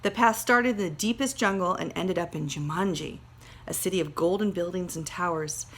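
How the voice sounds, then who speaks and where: A woman teacher reading a children's story aloud with dramatic emphasis, pausing to speak directly to her pupils. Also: lowish voice. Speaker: second grade teacher. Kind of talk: expressive storybook read-aloud with teaching asides.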